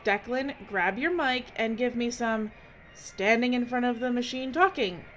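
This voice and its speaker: A woman acting a brisk, sardonic, no-nonsense film director in an audio drama. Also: on the high side, a bit bright. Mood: brisk, bossy. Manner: bossy, wry. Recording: dull, slightly noisy recording.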